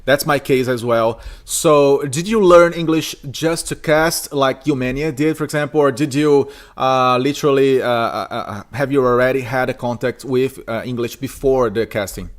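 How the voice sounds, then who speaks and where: A man's voice: medium pitch, normal speed, few pauses. A man with a Portuguese-speaking accent, whose first language is Portuguese, hosting a podcast interview and hesitating often with "uh". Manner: hesitant, with many "uh" fillers. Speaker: podcast host, esports caster. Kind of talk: casual interview.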